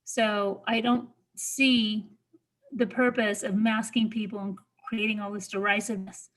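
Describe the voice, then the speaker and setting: A woman's voice: medium pitch, level tone, a little slow, fairly bright tone, rough recording. A woman making an emphatic, argumentative public comment at a council meeting.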